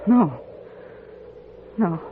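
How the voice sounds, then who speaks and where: A bereaved frontier woman speaks slowly and haltingly, full of sorrow. The style is 1950s radio drama, on a thin recording with steady noise. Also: on the low side, phone-quality sound, heavily acted. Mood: grieving. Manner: halting, mournful. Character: Anna, sorrowful woman who lost her fiancé. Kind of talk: 1950s radio western acting.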